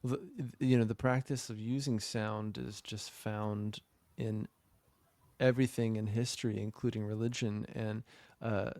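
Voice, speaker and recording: A man hosting an interview as a trauma therapist, reflecting on his guest's story in a measured, affirming way. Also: on the low side, flat delivery, a little mellow, no echo. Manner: measured, affirming, frequent fillers. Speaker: podcast host, trauma therapist.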